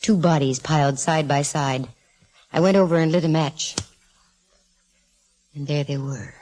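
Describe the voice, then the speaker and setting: A gutsy female private eye from a 1940s radio mystery, with a notably low voice. She is breezy and sardonic, tossing off hard-boiled wisecracks. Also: fairly even intonation, measured pace, darkish tone. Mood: wry, storytelling. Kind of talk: 1940s radio acting with first-person narration.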